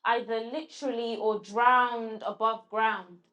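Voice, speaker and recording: Woman poet, halting and reflective. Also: fairly high pitch, steady tone, pushed and bright, dull audio. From London. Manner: halting, searching for words with restarts when answering. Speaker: guest poet. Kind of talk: spoken word recital and interview answers.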